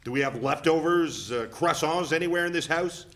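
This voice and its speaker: A man's voice: fairly high pitch, moderate pace, a bit bright, close to an everyday voice. A man playing an irritated, reproachful husband and busy father in a dramatic play reading.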